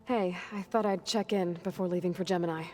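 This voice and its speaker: A woman's voice: lowish voice, fairly even intonation, a little fast, mellow, soft background music, natural voice. A woman playing a young warrior in a video game, guarded but caring, with a direct, understated way of talking. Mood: reassuring, matter-of-fact. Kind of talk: video game cutscene acting.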